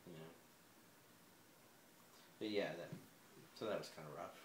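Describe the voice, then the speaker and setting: A man in his forties being interviewed, talking in a hesitant, soft-spoken way with many fillers and restarts. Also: deep voice, a little slow. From United States. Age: 40s. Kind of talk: personal interview. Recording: echoey room, faint ambient noise.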